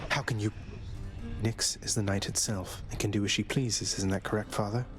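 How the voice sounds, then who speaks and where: Rebellious young underworld prince, fantasy game, male actor: deep voice, dry wit, polite, light music behind. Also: steady tone, moderate pace, natural voice. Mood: sardonic defiance. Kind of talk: scripted video game dialogue.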